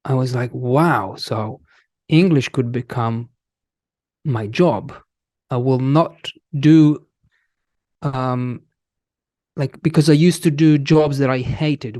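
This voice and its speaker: Slow, halting Italian man. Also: medium pitch, warm tone, no echo, studio quality. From Italy. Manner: slow, halting, many restarts and fillers. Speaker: guest, English teacher. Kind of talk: casual interview.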